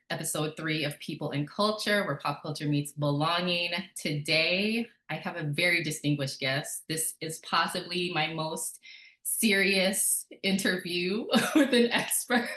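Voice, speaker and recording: A woman hosting a conversational podcast interview, introducing things in a measured, deliberate way. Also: low voice, a bit bright, echoey room. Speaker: podcast host.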